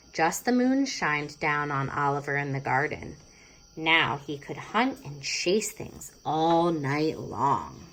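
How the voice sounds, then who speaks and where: A woman's voice: deep voice, fairly bright tone, poor audio. A woman narrating a children's storybook expressively, stretching words for dramatic effect. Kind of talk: expressive children's read-aloud.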